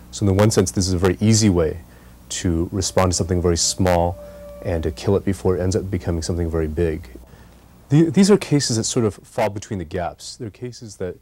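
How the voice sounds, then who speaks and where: A man speaking as an advocate in an interview, explaining deliberately and restating his points. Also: sits low, warm tone. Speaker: community advocate interviewed as expert.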